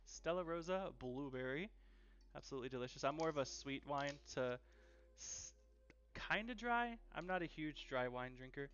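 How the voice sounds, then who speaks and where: A man's voice: on the high side, expressive, normal speed, fairly bright tone, clean tone. Chatty man on a lo-fi kitchen livestream. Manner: chatty and easygoing, repeats phrases for emphasis. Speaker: host of a live cooking stream. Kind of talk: casual live-stream chat while cooking. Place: home kitchen. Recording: dull, lo-fi livestream audio.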